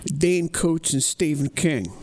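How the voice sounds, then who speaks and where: Mellow, deadpan middle-aged man podcaster. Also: fairly high pitch, slow pace. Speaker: podcast co-host. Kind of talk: casual comic banter.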